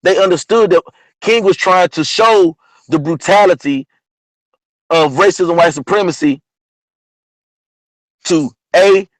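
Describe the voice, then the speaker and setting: A man with a partly lost, hoarse voice, talking casually about history as host of an online show, often asking "you know what I'm saying?". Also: sits high, unhurried, pauses often, no echo, rough recording. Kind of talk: casual history talk monologue.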